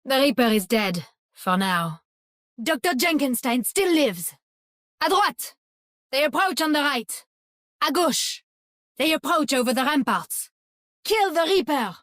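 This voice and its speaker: A woman's voice: high-pitched, fairly bright tone, no room sound, clean studio sound, exaggerated voice. A woman playing a cold, stern hunter defending a gate in a video game, dramatic and commanding. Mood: cold, disdainful.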